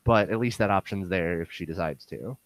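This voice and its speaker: A young man hosting a casual podcast with a hoarse, worn-out voice that sounds nasal and bassy, rambling with lots of fillers. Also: a little fast. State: hoarse, voice going. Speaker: podcast host.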